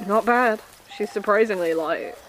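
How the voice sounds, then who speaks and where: A woman playing a young, quick-thinking, wary junior staffer in an audio drama, with a plain-spoken, tense delivery. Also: mid-range voice, a bit bright, a little hiss or hum, natural voice, rough recording. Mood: uneasy. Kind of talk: audio fiction podcast acting.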